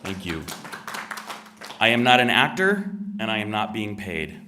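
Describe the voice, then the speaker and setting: A deep-voiced man reading an earnest, deliberate public comment at a town meeting. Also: big pitch swings, pushed and bright, recorded from a distance, slight background noise. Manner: earnest, deliberate prepared statement. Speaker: resident giving public comment. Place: town hall meeting room.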